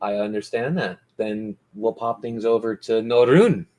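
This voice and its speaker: A man's voice: on the low side, unhurried, warm tone. A man acting as game master, narrating and bantering in a theatrical, courteous way.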